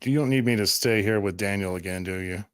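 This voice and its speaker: A man's voice: fairly low pitch, brisk, clear, resonant tone, no room sound, clean studio sound, close to an everyday voice. A man playing a laid-back, grumbling young musician servant in a roleplay game, talking casually in slang.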